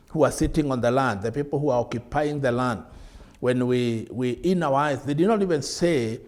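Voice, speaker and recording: A man's voice: mid-range voice, darkish tone. Middle-aged kenyan man preaching on tv, earnest, lots of questions, stretches key words, lapel mic in studio. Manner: earnest, repetitive rhetorical questions, drawn-out emphasis. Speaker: bishop. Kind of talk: televised sermon teaching. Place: TV studio, seated at a desk.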